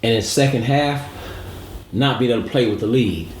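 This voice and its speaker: A man's voice: mid-range voice, moderate pace. A man giving emphatic, analytical sports commentary as a show co-host, repeating points for emphasis. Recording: roomy echo, audible background noise.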